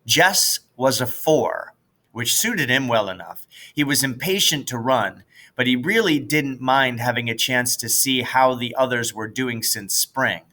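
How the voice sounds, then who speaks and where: Bright-voiced American man, unhurried narration. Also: medium pitch. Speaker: audiobook reader, teacher. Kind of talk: scripted storybook narration.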